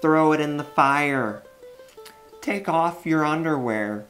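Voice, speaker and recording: Storyteller voicing a sly, high-pitched werewolf posing as grandmother. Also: expressive, slow pace, fairly bright tone, faint music behind. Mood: coaxing, commanding.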